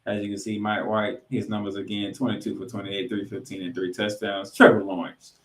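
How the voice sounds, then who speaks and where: A man's voice: fairly low pitch, flat delivery, a little fast. Roomy-sounding man hosting a sports podcast. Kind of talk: sports recap commentary with stats. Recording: roomy, echoing room sound.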